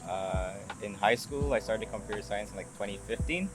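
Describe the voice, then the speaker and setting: A man's voice: on the low side, brisk, noisy background. A young man from Barbados, a student interview guest, chatting casually and loosely with lots of hedging. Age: young adult. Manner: informal, hedging, peppered with "like". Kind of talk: casual chat.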